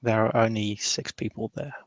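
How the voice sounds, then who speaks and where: A man playing a tabletop roleplaying game, improvising somber description in a halting way. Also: lowish voice, fairly even intonation, no room sound.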